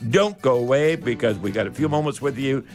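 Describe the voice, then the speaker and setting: A man hosts a home repair advice program on talk radio. His pitch is middling and his delivery is folksy and halting. He often repeats words to press a point home. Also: lively intonation, soft background music. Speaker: radio show host.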